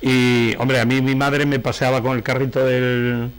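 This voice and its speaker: A middle-aged man from Galicia speaks with a Spanish accent as a guest in a conversational interview. He tells anecdotes at length, wandering off and correcting himself as he goes. His voice sits at an ordinary male pitch. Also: little pitch movement, unhurried, clear, resonant tone. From Galicia, Spain. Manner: digressive, self-correcting. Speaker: interview guest, painter and novelist.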